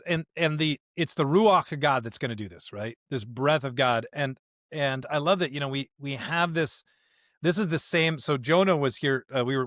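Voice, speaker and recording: Earnest preacher guy on a podcast, voice a bit higher, explaining scripture casually, really dry room sound. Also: a bit bright, no room sound. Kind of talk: conversational scripture teaching.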